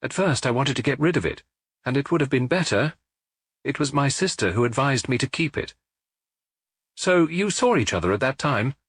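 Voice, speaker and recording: A man voicing a blunt, unsentimental middle-aged woman who runs a hair salon, speaking in a flat, matter-of-fact way. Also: mid-range voice, normal speed, no room sound, studio quality, pitched above the performer's own voice.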